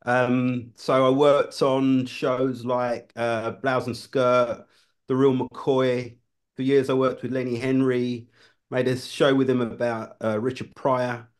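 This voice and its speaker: A man, a former television producer, talking as a guest in a relaxed conversation, often restarting his phrases. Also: mid-range voice, slow. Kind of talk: casual conversation.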